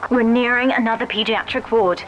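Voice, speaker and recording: A woman's voice: on the high side, fairly even intonation, brisk, dull audio, lightly acted. A woman playing a resolute young daughter in a game, guiding with focused urgency amid noise. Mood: focused, urgent.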